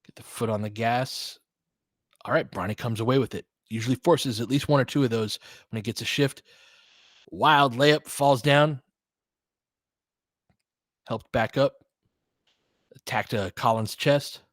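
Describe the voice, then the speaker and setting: A man's voice: medium pitch, a little slow, long pauses, dry recording, clean studio sound. A man doing casual live basketball play-by-play, talking conversationally and adding his own opinions as he goes. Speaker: play-by-play commentator and host. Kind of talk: casual live basketball commentary with fan chat.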